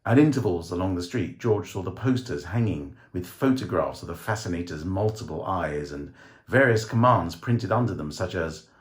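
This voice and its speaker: A man reading a short story as dramatic scripted narration, with theatrical, measured phrasing. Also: deep voice, animated. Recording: roomy, echoing voice recording.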